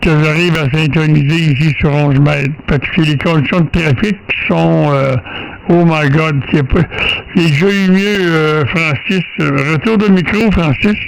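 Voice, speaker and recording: A man with a Quebec French accent, chatting casually as an amateur radio operator in a halting, hesitant way. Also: fairly high pitch, creaky, slight background noise, low-quality recording. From Canada. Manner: hesitant, halting, many pauses. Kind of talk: casual radio contact chat.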